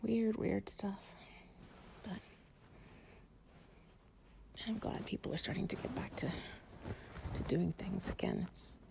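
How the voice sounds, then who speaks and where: A woman playing an affectionate, playful girlfriend in an improvised bedtime cuddle audio, speaking softly and breathily, often near a whisper, in a rambling way. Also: mid-range voice, steady tone, slow, gravelly, long pauses, rough recording. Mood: chatty, wry. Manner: soft, rambling, affectionate, giggly. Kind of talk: improvised intimate relaxation roleplay audio, ASMR-like.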